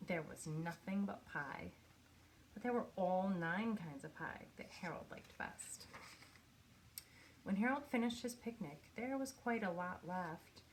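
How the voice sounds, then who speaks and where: A woman reading a children's picture book aloud in an expressive storytelling manner, with pauses for effect. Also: lowish voice, a little hiss or hum, low-quality recording. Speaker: storybook reader.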